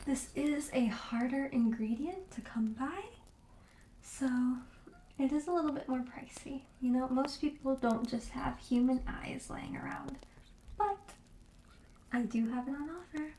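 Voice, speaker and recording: A friendly, slightly morbid witch shopkeeper played by a woman in an ASMR roleplay, with a high, mellow, breathy voice. She speaks slowly and softly, often slipping into a whisper as she gently coaxes a customer toward her curiosities. The sound is roomy and echoey. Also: slight background noise. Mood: coaxing, impish. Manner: gentle, coaxing sales patter with casual hesitations.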